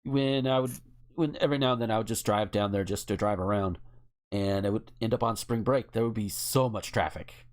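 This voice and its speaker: A man's voice: on the low side, fairly quick. A man co-hosting a podcast, talking casually in a rambling, wry and opinionated way. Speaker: podcast co-host. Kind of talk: casual chat.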